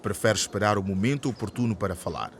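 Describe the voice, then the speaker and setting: A man's voice: lowish voice, quick pace, slight background noise, lightly acted. A man narrating a radio drama in a scripted, scene-setting style. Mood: descriptive. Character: male narrator of a school radio soap.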